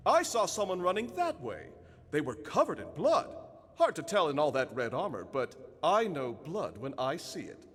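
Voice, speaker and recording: A man voicing a creepy, blood-obsessed witness in a video game, savoring his words with sinister relish in a raspy voice. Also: a little high, wildly swooping pitch, normal speed, fairly bright tone, long reverb tail, exaggerated voice.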